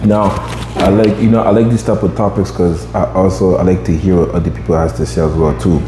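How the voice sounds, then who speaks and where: A man's voice: deep voice, steady tone, brisk, reverberant, big-room sound, noisy background. A man in his twenties hosting a podcast, chatting casually and rambling with lots of fillers. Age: 20s. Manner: rambling, many fillers like "you know what I mean". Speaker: podcast host.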